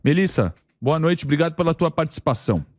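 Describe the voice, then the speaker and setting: A Brazilian man hosts a panel in a casual way, his fairly high voice moving with very lively intonation. The audio is dry and a little dull. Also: fast talker, no echo. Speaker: talk show host. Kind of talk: casual live panel hosting.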